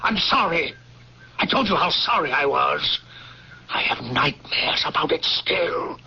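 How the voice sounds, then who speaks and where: An actor playing an ambitious, guilt-ridden Civil War general, pleading and defensive in old-time radio drama style. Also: male voice, on the high side, expressive, a little slow, vocal fry, slightly rough, full character voice. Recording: muffled, noisy old radio drama tape.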